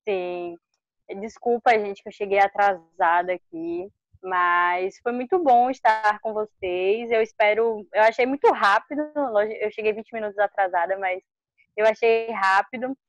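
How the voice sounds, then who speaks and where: A woman's voice: medium pitch, unhurried, dry recording. A Brazilian woman speaking casually as a guest in an online discussion, on a dull lo-fi call recording. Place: video call.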